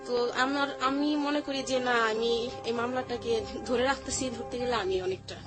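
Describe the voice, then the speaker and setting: A woman's voice: fairly high pitch, fairly even intonation, normal speed. A woman with a Bangladeshi accent giving a personal account as an interview guest, explaining in colloquial, repetitive phrases. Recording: music playing behind the voice. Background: background music.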